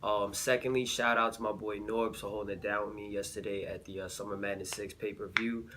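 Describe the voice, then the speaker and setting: Laid-back, slightly sick vlogger, low voice. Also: male voice, level tone, fairly quick, pushed and bright. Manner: laid-back, filler-heavy slang. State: a little sick. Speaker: battle rap reviewer. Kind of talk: casual recap vlog.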